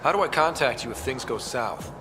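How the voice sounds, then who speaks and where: A man's voice: medium pitch, expressive, brisk, natural voice. A man playing a confident, dry young space explorer in a video game, matter-of-fact and wry. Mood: guarded, dry. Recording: music and effects behind.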